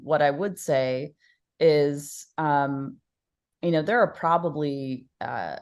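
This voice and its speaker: A woman's voice: low-pitched, darkish tone. A woman guest on an interview podcast, speaking thoughtfully with many fillers, restarts and easy laughs. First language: Polish. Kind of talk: conversational interview.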